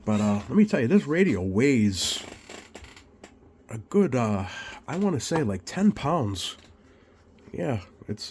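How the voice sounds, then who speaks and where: A man with a slightly scratchy voice, getting over a cold, talks informally through a repair demonstration in a hesitant, unhurried way, thinking aloud. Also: medium pitch, darkish tone. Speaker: radio repair technician and video host. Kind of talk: informal bench demonstration vlog. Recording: clearly audible background noise.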